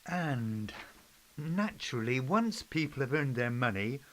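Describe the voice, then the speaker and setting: Male amateur actor as sceptic, average pitch, deliberate questioning delivery for a small stage play. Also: lightly acted, poor audio. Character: doubtful male questioner seeking reassurance about economic changes. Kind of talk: amateur scripted play reading.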